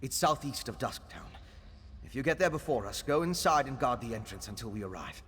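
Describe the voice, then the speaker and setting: A man's voice: mid-range voice, lively intonation, fairly quick, a bit bright, in a large hall, a little hiss or hum, lightly acted. A man playing a grave, urgent fantasy game ally who speaks in terse, purposeful commands. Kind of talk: fantasy video game voice acting.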